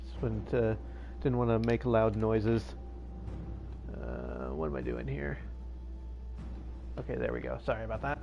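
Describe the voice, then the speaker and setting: A man with a lowish voice chats casually on a livestream, drifting between thoughts with plenty of ums and restarts. He talks straight into his own plugged-in microphone at a home setup, with a faint hiss underneath. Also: animated, a little slow, creaky, pauses often, muffled recording. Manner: rambling and hesitant, many fillers and restarts. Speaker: game streamer. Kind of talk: casual live-stream chat. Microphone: own plugged-in streaming microphone.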